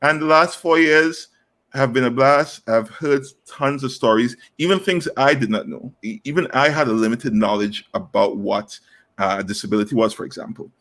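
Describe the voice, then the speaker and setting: A man's voice: medium pitch, moderate pace, a bit bright. Guy from trinidad and tobago, 30s, mid voice, earnest, lots of ums as he tells his story. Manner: earnest, many fillers and restarts. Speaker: guest, inclusive recruitment specialist. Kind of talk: conversational interview answer.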